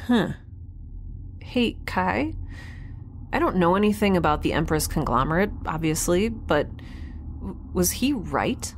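A woman's voice: on the low side, lively intonation, measured pace, pauses often, a little hiss or hum. A woman playing an earnest, chatty young spaceship resident with a hesitant conversational manner in a science fiction audio drama. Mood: uncertain.

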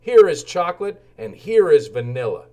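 A man's voice: on the high side, sing-song, moderate pace, warm tone, pitched above the performer's own voice. A man voicing an eager, helpful worm in a children's storybook, confidently offering suggestions. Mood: excited, confident.

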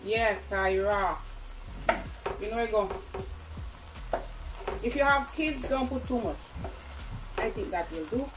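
A woman's voice: mid-range voice, slow, long pauses. Jamaican woman chatting over a sizzling pan. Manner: chatty, keeps calling to viewers. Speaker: cooking vlogger. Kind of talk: casual cooking demonstration. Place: home kitchen at the stove. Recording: sizzling pan and kitchen noise behind.

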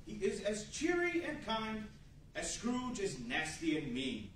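Man playing high, animated 1940s radio announcer. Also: unhurried, full character voice. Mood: theatrical, wry. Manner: theatrical, wry. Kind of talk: 1940s radio narration on stage. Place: large indoor meeting room with seated audience. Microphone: far camera. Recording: very echoey room from far off, faint noise.

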